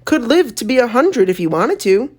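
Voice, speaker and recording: A woman voicing a brisk, matter-of-fact elderly female country doctor in a children's storybook reading. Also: fairly high pitch, sing-song, a little mellow, breathy edge, voice pushed up. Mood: grave. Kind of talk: children's storybook read-aloud.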